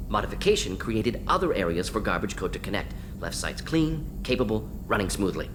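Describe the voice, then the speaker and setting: A brisk, pragmatic alien scientist from a video game, speaks in a lowish male voice. The sentences are stripped down to clipped fragments and lists, with a clear rumble of noise behind. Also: big pitch swings. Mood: clinical. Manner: clipped telegraphic phrases, rapid-fire listing. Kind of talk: video game dialogue acting.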